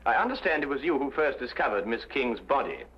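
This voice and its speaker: An actor playing a courteous, composed gentleman detective who questions politely in an old-time detective drama. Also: medium pitch, expressive, brisk, lightly acted. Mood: gently probing. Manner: polite, probing, slightly hesitant questioning. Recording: muffled old radio-era recording.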